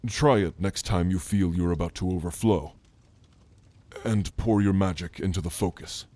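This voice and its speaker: A man playing a gentle, scholarly orc mage in a soft, earnest, halting ASMR roleplay, speaking intimately to the listener. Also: sits low, no room sound, close to an everyday voice. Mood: bashful, earnest.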